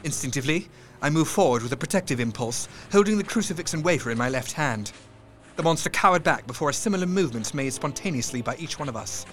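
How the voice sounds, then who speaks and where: A man narrating an audio drama in a tense, measured storytelling voice with hushed dips. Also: fairly high pitch, expressive.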